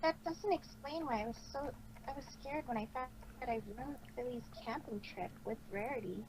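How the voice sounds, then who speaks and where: A woman voicing a proud but guilt-ridden female cartoon pony, brooding and halting as she questions herself, in an amateur story reading. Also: high-pitched. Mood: conflicted, guilty. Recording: noisy amateur microphone recording.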